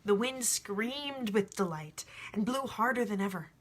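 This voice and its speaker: A woman reading a story aloud in an expressive, informal way, like a home storyteller. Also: mid-range voice, a little slow. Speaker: storybook reader. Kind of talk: book read aloud with informal asides.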